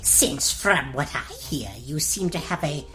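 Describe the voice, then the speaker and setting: A woman playing a sly evil fairy disguised as an old woman in a comic audio fairy tale, with a drawn-out, mock-courteous menace. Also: sits low, animated, music in the background. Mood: sly, coaxing.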